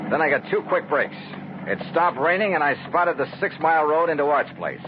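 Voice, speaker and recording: A man's voice: on the high side, big pitch swings, brisk. A man acting a tough, dry insurance investigator in a 1950s radio drama, with an American accent and a clipped, wry delivery. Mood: wry, grim. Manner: clipped, wry, hard-boiled. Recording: muffled, noisy 1950s radio recording.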